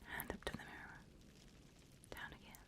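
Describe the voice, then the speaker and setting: A woman voicing a seasoned, wry demon instructor in a slow, breathy ASMR whisper, guiding a trainee with dry humour. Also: sharp tone, close to an everyday voice. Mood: wry, coaching. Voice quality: breathy, mostly unvoiced whisper. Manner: slow, deliberate, dryly humorous.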